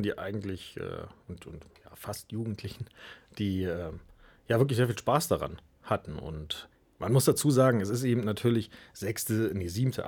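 A man giving casual, halting interview answers as a guest in a radio studio, pausing often as he goes. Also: on the low side, darkish tone, husky. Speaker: youth radio workshop leader, interview guest.

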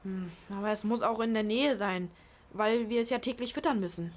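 A woman's voice: mid-range voice, moderate pace, close to an everyday voice. A female performer playing a sensible, bossy schoolgirl who explains and instructs her siblings in a children's radio play. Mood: planning.

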